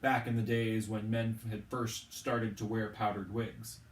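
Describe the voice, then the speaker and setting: A man's voice: on the low side, flat delivery, unhurried. Man reading a story aloud, roomy echo. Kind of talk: expressive audiobook-style narration of a children's novel. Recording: roomy, echoing, distant from the mic.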